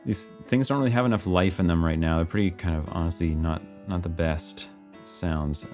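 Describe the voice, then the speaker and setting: Very deep, gravelly-voiced man. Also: normal speed. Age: 30s. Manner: relaxed, laughing, thinking aloud while working. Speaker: livestream host composing music. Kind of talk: casual livestream chat, reading viewer comments. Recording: faint music playing behind, keyboard typing.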